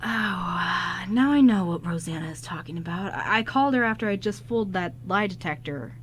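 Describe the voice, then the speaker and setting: A woman's voice: on the low side, expressive, brisk, slight background noise, natural voice. A woman playing a flustered, kind-hearted male ghost who stammers and rambles in an amateur radio drama. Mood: confused.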